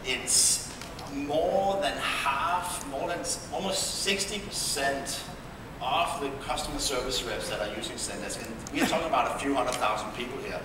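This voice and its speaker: A man with a Danish accent speaking conversationally as a tech founder on a panel, halting at times. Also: medium pitch. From Denmark. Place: conference panel stage in a large hall. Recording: very echoey hall, distant mic, room noise.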